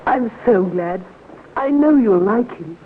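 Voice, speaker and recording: A woman's voice: medium pitch, animated, slow pace. An actress playing a genteel, effusive society lady in a classic radio drama, very polite and refined in manner. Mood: gushing, sociable. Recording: tinny, noisy old radio recording.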